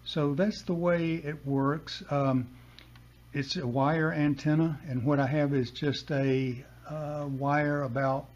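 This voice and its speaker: A man in his seventies or older giving a casual, unscripted hands-on demonstration in a halting, plain-spoken way. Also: fairly high pitch, steady tone. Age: 70s or older. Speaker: hobbyist demonstrating a vintage radio. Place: basement room with a desk. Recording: dull home recording, loud radio hiss.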